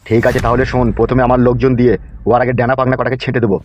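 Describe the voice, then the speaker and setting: A man's voice: mid-range voice, little pitch movement, fast, darkish tone, dull audio, slight background noise, close to an everyday voice. A man playing a scheming, ruthless village gang boss in a cartoon, with a blunt, commanding manner. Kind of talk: comic Bengali cartoon dialogue.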